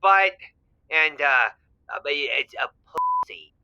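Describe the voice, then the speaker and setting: Elderly husband male actor, radio-play caller: earnest, fumbling, very sharp-edged tone, slightly muffled lo-fi line. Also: a little high, huge pitch swings, slow, exaggerated voice. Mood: eager, puzzled. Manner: hesitant, stammering, earnest. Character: fumbling, naive, eager married man in his eighties. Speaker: caller to a radio advice show. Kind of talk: improvised-sounding comic audio drama.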